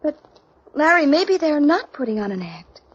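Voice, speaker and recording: An actress playing an anxious, pleading young American wife with a nervous, urgent manner, in old-time radio drama style. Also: female voice, sits high, big pitch swings, moderate pace. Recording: dull old radio recording.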